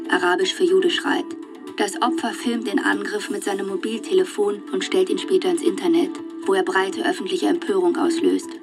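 A woman reading a scripted documentary narration for a radio play in a factual, measured way. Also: medium pitch, lightly acted. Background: music.

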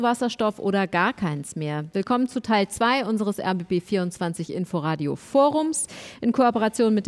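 A woman hosts a live radio panel forum. She introduces guests in a clear announcing voice and puts follow-up questions with a few hesitations. A long hall reverb surrounds her. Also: medium pitch, darkish tone. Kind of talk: moderating a panel.

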